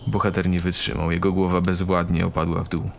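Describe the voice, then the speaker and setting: A man's voice: deep voice, level tone, fairly quick, creaky, background noise. A man narrating a scripted fantasy audio drama in a dramatic storytelling style. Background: loud background music.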